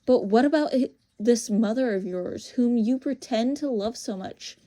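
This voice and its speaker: A woman's voice: fairly high pitch, unhurried, mellow, natural voice. A woman voicing a proud, tempting evil witch in a storybook, commanding, scornful and grandly formal. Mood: taunting, angry.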